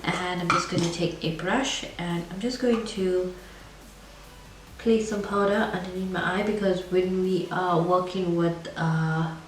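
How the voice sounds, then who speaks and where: A woman's voice: on the low side, fairly even intonation, unhurried. Woman with the flu, scratchy stuffed-up voice, rambling makeup chat, sounds far off in a roomy space. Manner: chatty, rambling, with fillers. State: hoarse from a cold, congested. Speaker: beauty vlogger. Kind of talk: casual makeup review. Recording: echoey room, far from mic, faint noise.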